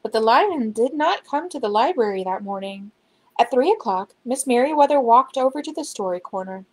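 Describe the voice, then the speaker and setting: A woman reading a children's storybook aloud in an expressive, dramatic storytelling manner. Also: fairly high pitch, normal speed. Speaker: children's book reader. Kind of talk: storybook narration, read aloud.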